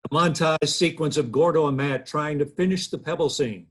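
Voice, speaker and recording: A man's voice: on the high side, moderate pace, husky. A man in his thirties narrating stage directions at a table read, from home over a video call. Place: living room, video call.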